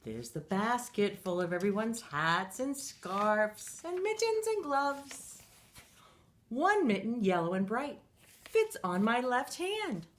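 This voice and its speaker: Slow, sing-song storytime woman. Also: fairly high pitch, big pitch swings, a little hiss or hum. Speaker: children's storytime reader. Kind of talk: reading a picture book aloud to children.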